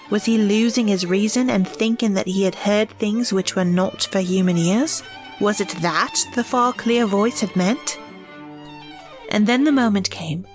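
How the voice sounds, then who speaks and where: A woman narrating an audio drama in a measured, expressive way. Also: mid-range voice.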